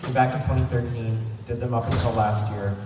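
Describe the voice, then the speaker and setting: A man's voice: mid-range voice, steady tone, a little fast. A man hosting a convention panel, giving an informal, rambling and enthusiastic fan presentation. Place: large convention centre room. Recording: echoey hall from far off, noisy. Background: room noise.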